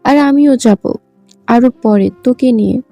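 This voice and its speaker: Audio story sister, female actor: concerned, chiding young woman, high pitch, very mellow dark tone. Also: a little slow, no echo, faint music behind. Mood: chiding, familiar. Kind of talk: acted audio story dialogue.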